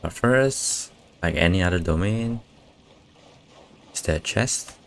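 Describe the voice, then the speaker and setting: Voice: low voice, expressive, slow, creaky, slight background noise. A game streamer muttering dry, sweary, laconic reactions during live gameplay.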